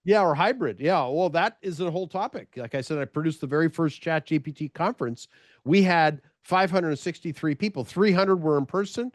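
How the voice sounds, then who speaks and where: An American man hosts a casual interview over a video call. He talks in a chatty, rambling way and often restarts his sentences. His voice sits a little high and sounds dry and close. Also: fairly quick, dry recording. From United States. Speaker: podcast host.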